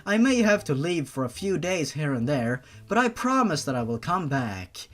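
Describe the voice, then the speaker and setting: A man's voice: fairly high pitch, very animated, moderate pace, fairly bright tone, faint music behind. A man voicing a fierce, doting, protective older sister in a storybook reading, earnest and solemn. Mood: tender reassurance.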